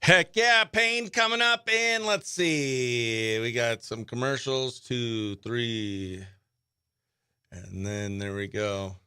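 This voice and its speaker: A man hosting a morning radio show with casual live chat, talking in an excitable, slangy, exclamatory way. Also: mid-range voice, slow pace, smooth, no room sound, studio quality. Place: dry radio studio.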